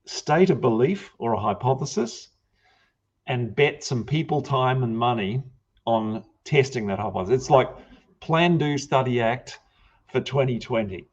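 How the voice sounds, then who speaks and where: A man's voice: mid-range voice, expressive, measured pace, dull audio. A man with a New Zealand accent, speaking thoughtfully as a panelist and searching for words. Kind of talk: panel discussion.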